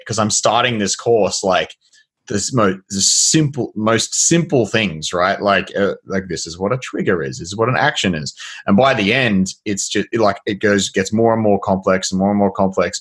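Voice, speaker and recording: A man hosting a casual podcast conversation, thinking aloud in a relaxed way with many fillers and the odd mild swear. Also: fairly low pitch, husky.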